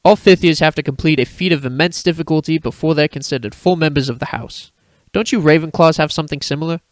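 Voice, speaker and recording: A man's voice: fairly high pitch, fast talker, dry recording, close to an everyday voice. A man acting a bold, cocky teenage schoolboy in a fan fiction audio drama, speaking in an earnest, boastful way. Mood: proud, earnest.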